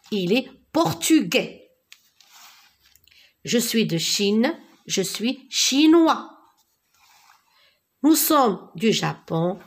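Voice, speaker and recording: A woman with a Moroccan accent teaching a primary school French lesson, repeating words and spelling out letters one by one. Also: medium pitch.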